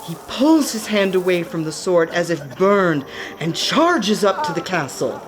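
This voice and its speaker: A woman narrating a scripted radio play with measured, dramatic storytelling. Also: on the low side, big pitch swings.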